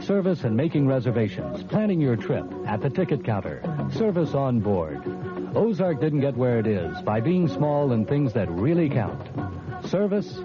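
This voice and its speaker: Lively radio ad man. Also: mid-range voice, moderate pace, over music. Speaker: airline commercial announcer. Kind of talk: punchy scripted 1970s radio ad read. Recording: muffled old radio broadcast.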